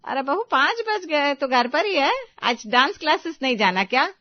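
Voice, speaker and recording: A woman's voice: sits high, very animated, normal speed, lo-fi sound. A woman playing a kindly, indulgent middle-aged mother-in-law in story dialogue. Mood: puzzled.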